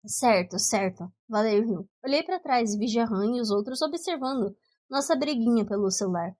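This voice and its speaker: A woman with a Brazilian accent narrating first-person fiction from a script as an audiobook reader, with expressive storytelling. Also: on the high side, a little fast, no echo.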